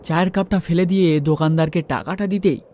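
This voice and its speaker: High-voiced man telling a story. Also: fast, warm tone. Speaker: narrator and lead character of a ghost story. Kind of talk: dramatised first-person story narration.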